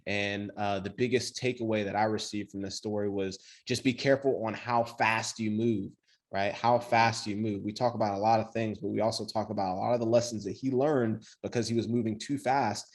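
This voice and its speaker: A male podcast host with a low-pitched voice leads the interview. He opens segments with upbeat, casual energy and asks his guest questions in a friendly, conversational tone. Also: fairly even intonation, brisk.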